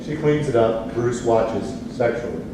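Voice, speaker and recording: A man's voice: mid-range voice, animated, measured pace. Male stage directions reader for a play reading, recorded from afar in a very reverberant room. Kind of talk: scripted narration in a play reading. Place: theatre space, recorded from audience distance. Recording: echoey room, far mic, noisy.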